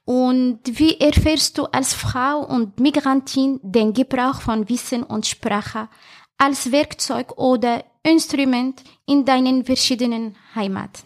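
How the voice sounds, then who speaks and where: Higher-voiced woman interviewer, careful phrasing. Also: fairly even intonation, slow pace. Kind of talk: prepared interview questions.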